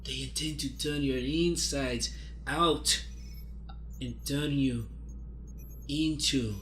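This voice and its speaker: A man's voice: mid-range voice, steady tone, takes it slowly, a bit bright, a little hiss or hum. A man playing a desperate widowed woman who gives urgent, melodramatic, repetitive pleas in an improvised comic radio play. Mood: urgent warning. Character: desperate widowed woman Yvette, frantically warning of experiments.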